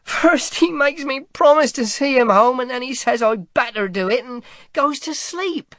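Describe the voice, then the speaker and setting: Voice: very high-pitched, a little slow, creaky, sharp tone, no room sound, studio quality, pitched above the performer's own voice, full character voice. A reader voicing a tired little boy who pleads tearfully and sobs. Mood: sobbing. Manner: pleading, sobbing. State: crying.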